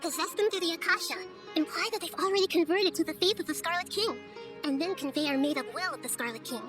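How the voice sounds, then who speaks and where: A woman voicing a small, girlish goddess in a game cutscene, light and youthful, explaining things in a calm, thoughtful way. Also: very high voice, fast talker. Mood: calm scheming. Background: music.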